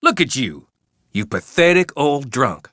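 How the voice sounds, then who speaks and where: An actor playing a mean Old West outlaw in a video game, mocking and insulting his gang with drawn-out taunts. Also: mid-range voice, big pitch swings, pushed and bright, dry recording, clean studio sound. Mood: scornful. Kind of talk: video game character barks.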